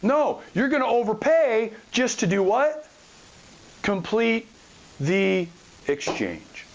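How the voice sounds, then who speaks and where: Male podcast host, high voice, blunt and colloquial, emphatic advice monologue. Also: very animated, slow pace, a little hiss or hum, rough recording. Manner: emphatic, repeats phrases and asks rhetorical questions. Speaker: podcast host and real estate investor. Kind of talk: informal advice monologue to listeners.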